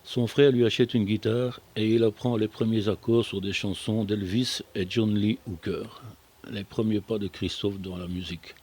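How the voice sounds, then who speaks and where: An older man with a fairly low voice presents a radio programme. He reads prepared biographical narration and slips into casual banter now and then. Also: steady tone, normal speed, darkish tone, husky. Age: older, retired.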